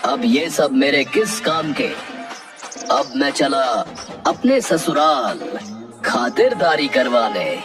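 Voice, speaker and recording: A man's voice: medium pitch, sing-song, takes it slowly, far from the mic, over music. A man voicing a strong, hot-tempered, dim-witted village wrestler in a cartoon, with a blunt, bullying delivery. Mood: pleased. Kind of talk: Hindi cartoon story voice acting.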